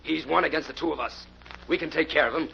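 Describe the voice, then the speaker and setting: An actor playing a bossy, hot-headed gangster in a 1940s radio crime drama, with tough slangy talk and barked orders. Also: male voice, a little high, quick pace, creaky. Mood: agitated, commanding. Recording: noisy muffled old radio recording.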